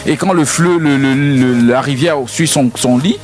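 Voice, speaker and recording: A man's voice: medium pitch, a little slow, over loud music. A man co-hosting a radio chat show, talking casually and playfully while stumbling over repeated words.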